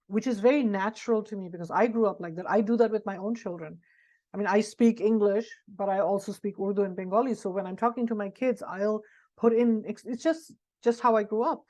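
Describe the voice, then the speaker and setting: A woman's voice: medium pitch, a little mellow. Frank middle-aged woman author, dull audio. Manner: frank, reflective, thinks aloud with restarts. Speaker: author being interviewed. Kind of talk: candid conversational interview answers.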